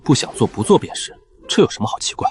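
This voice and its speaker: A man's voice: fast talker, natural voice. A man voicing a cool, aloof character with dry, unbothered confidence in an audio drama. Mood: wry, calm. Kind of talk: dubbed audio drama dialogue.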